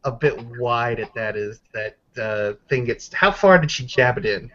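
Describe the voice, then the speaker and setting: A man narrating a tabletop roleplaying game as game master, halting and descriptive, calling out dice rolls. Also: medium pitch, animated, pushed and bright, muffled recording.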